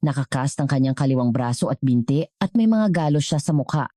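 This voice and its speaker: A woman with a low, mellow voice, reading a scripted dramatic story as narrator. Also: expressive, fairly quick, dry recording, clean studio sound.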